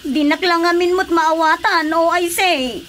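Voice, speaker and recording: Cat-doting wife under accusation: very high, sharp, exclamatory, Ilocano accent, radio drama. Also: female voice, steady tone, a little slow, slight background noise. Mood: pleading. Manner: shrill, exclamatory. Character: startled, protesting wife who dotes on her cat.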